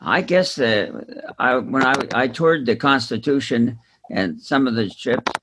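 A man speaking casually and haltingly in a book discussion. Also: medium pitch, lively intonation. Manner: halting, hesitant delivery. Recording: clear background noise with clicks.

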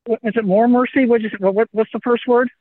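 A man's voice: extremely high pitch, steady tone, fast, slight background noise. A man calling in to a book group by phone, asking casual questions over a lo-fi connection.

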